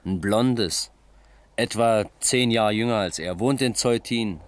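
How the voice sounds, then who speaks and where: An actor playing a persistent, exasperated police detective in a radio crime play, probing and cutting in with questions. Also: male voice, fairly low pitch, slow, no room sound. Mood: matter-of-fact. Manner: probing, interrupting. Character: persistent, exasperated male police detective, father of a small child.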